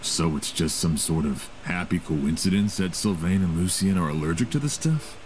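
An actor voicing a skeptical, puzzled man in a game, questioning in a deliberate, incredulous way. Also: sits low, expressive, darkish tone, a little hiss or hum, rough recording. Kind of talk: scripted game dialogue. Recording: music behind the voice.

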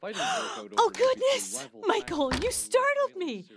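A woman acting a caring, outspoken sister in an audio drama, expressive and direct. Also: extremely high pitch, takes it slowly, exaggerated voice. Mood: startled, brisk. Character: caring, outspoken sister who challenges her brother.

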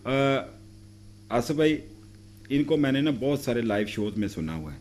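A man's voice: medium pitch, very animated, moderate pace, slight background noise. Effusive middle-aged male TV host. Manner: warm, effusive introductions. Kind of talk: TV talk show hosting. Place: TV studio set.

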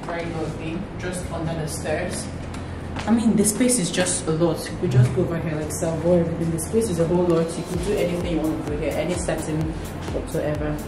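Young Nigerian woman vlogger, gushing house tour, lowish voice, camera-mic distance, loud background music. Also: steady tone, brisk. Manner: gushing, exclamatory, repeats praise. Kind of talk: enthusiastic walkthrough. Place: empty furnished house, indoors, echoey rooms. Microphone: camera mic, filmed from a few steps away. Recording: roomy echo, off-mic, loud music bed.

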